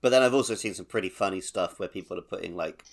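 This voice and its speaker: A man's voice: deep voice, quick pace, creaky. A man hosting a podcast, chatting casually about a video game. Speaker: podcast host.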